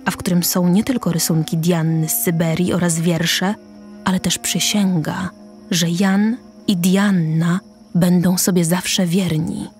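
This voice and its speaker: A woman narrating a radio drama deliberately, pausing between short phrases. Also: on the low side, fairly even intonation, darkish tone, faint music behind.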